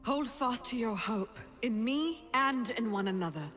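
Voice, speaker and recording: A goddess-like female wind spirit from a video game, serene yet commanding. She speaks in slow, stately phrases with a bright, forceful voice, over faint music. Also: on the high side, lively intonation. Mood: gentle, reassuring. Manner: stately, solemn, deliberate.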